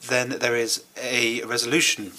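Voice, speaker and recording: A man delivers a live English interpretation of a conference speech. His voice is bright and forward, in a middle pitch. His phrasing is measured and hesitant, with short pauses as he follows the speaker. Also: level tone.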